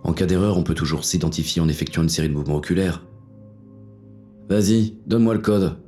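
A very deep, dark-toned male actor's police inspector narrates noir fiction. He is weary and menacing, telling it tersely and deliberately, with faint music underneath. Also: lightly acted. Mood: controlled, menacing. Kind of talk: scripted first-person noir audio fiction narration.

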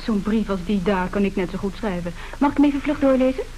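A woman's voice: mid-range voice, animated, quick pace, warm tone, close to an everyday voice, rough recording. An actress playing a bold, businesslike young woman from a wealthy family, pert and self-assured, in a radio play. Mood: businesslike, determined. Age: young adult. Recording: hissy old radio drama recording.

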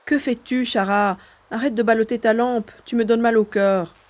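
A woman's voice: fairly high pitch, steady tone, moderate pace, a little mellow, close to an everyday voice. Woman acting cautious, worried mission partner. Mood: mildly irritated, curious. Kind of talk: audio drama dialogue.

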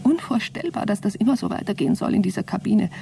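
A woman's voice: medium pitch, lively intonation, fast talker, creaky, mellow, slight background noise. An actress playing a disgusted, sardonic, weary female astronaut in a satirical science-fiction radio drama, with a dry, severe manner. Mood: weary, resigned.

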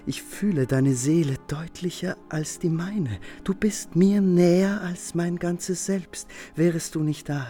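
An actor playing an adoring, anxious lover, speaking in a solemn, measured, lyrical way in a poetic radio drama. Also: male voice, fairly high pitch, warm tone, lightly acted. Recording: music behind the voice.

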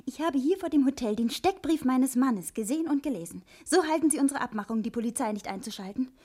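A high-voiced, proud wife. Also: female voice, lively intonation, quick pace, husky. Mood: indignant, accusing. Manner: sharp-tongued, formal, dramatic. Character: indignant, proud wife defending her fugitive husband. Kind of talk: 1960s German radio crime drama acting.

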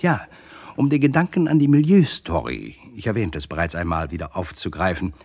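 Deep-voiced, wry crime narrator. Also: male voice, sing-song, brisk, darkish tone, husky, heavily acted. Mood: musing, wry. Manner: wry, digressive, addressing listeners. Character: wry, digressive storyteller, a writer recounting a murder case. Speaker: first-person narrator. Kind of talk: literary scripted narration in radio crime drama.

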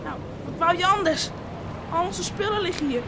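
A woman's voice: very high-pitched, normal speed. A woman playing a practical, determined flood victim in a radio drama, speaking urgently. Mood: grimly practical. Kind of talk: Dutch radio play acting. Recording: radio play buried in loud water noise.

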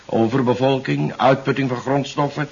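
Husky, cold scheming mastermind. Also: male voice, medium pitch, steady tone. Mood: cold, menacing. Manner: measured, methodical, coolly authoritative. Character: cold, scheming alien-controlled leader plotting mass extermination. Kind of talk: 1970s radio drama acting. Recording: dull, band-limited 1970s radio recording.

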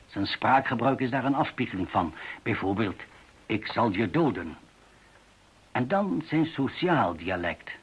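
Bedachtzame oudere professor met hese stem. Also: male voice, mid-range voice, animated, breathy edge. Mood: analytical, measured. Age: older. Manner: deliberate, scholarly, formal. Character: older male language professor, measured, analytical, precise. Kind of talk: radio play acting. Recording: dull, muffled radio play recording.

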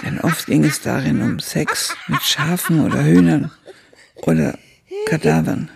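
An actress playing an elderly woman who reflectively recalls her youth, narrating a literary radio drama in a gravelly, raspy voice. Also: low-pitched, big pitch swings, measured pace, crowd noise, full character voice. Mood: wry, reflective. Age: older.

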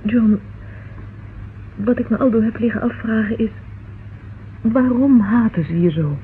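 A woman's voice: fairly high pitch, measured pace, a little hiss or hum, natural voice. An actress playing an anxious, affectionate young woman in a Dutch radio drama, hesitant and confiding. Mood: worried. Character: anxious, affectionate young sweetheart of a police inspector. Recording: muffled, husky-sounding old radio recording.

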